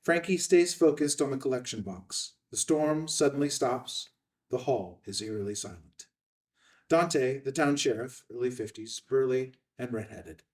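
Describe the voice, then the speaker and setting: A man's voice: mid-range voice, expressive, measured pace, distant mic. A man reading out stage directions as scripted narration at a film table read.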